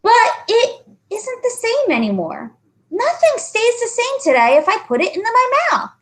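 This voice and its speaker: Woman voicing a high, pleading schoolboy. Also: huge pitch swings, moderate pace, a bit bright, heavily acted. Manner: earnest, protesting, swooping upward in emphasis. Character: pleading, anxious schoolboy insisting on the truth.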